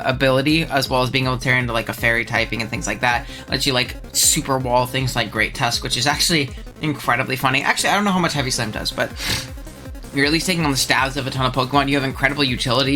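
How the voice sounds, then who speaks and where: A young man with a head cold talks quickly and animatedly, riffing on viewer opinions. His voice is bright and forward, a bit congested, and full of ums and quick laughs. Faint music plays softly behind him. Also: mid-range voice. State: stuffed up, congested. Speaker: channel host. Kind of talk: casual commentary reacting to viewer takes.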